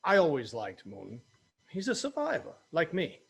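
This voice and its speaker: A man's voice: a little high, huge pitch swings, moderate pace, creaky, husky, heavily acted. A man playing a brash, roguish pirate captain in a table read of a fantasy comedy. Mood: firm. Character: gruff roguish orc-hating pirate captain, male.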